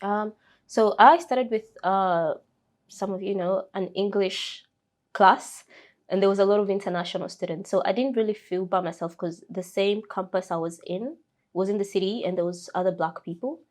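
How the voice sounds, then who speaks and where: A young woman from Kenya with a Kenyan accent, telling her story in a casual interview, speaking softly with many fillers and restarts. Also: mid-range voice, normal speed. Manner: soft-spoken, frequent fillers and restarts. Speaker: interview guest.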